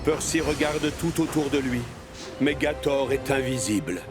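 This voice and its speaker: Casting: male narrator for kids' cartoon, medium pitch, scripted storytelling with music behind. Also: measured pace. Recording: clear music bed behind.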